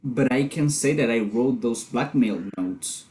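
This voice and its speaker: A guarded, doubtful husband played by a man in a readers theater drama. The voice is medium in pitch and questioning in manner, with some noise in the recording behind it. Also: unhurried, a little mellow, natural voice, rough recording. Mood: puzzled, doubtful. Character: skeptical husband of a condemned woman, guarded. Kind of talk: amateur readers theater performance.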